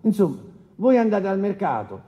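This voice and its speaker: An actor playing a formal, testy, officious presiding judge in a courtroom radio drama. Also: male voice, sits high, big pitch swings, muffled recording. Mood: probing. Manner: formal and officious, with halting hesitations.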